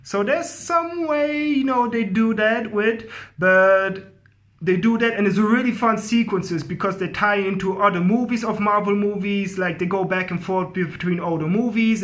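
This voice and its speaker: A man's voice: sits high, a bit bright. A man giving a casual, effusive movie review to viewers, rambling and often repeating himself. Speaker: film review host.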